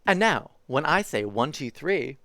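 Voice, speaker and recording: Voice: mid-range voice, big pitch swings, unhurried, a bit bright, no room sound, clean studio sound. A streamer reading a bedtime storybook aloud, giving the spotted creature a slightly dopey voice and speaking his lines in a singsong, rhyming way. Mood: boastful.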